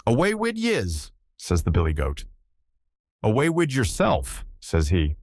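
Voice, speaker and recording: An old Irish seaman, acted out by a male narrator in a storybook reading. He is excitable, folksy and rambling, with a low voice and a close, dry sound. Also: a little slow, no echo, heavily acted. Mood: lively storytelling. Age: older. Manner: rambling, colloquial yarn-spinning.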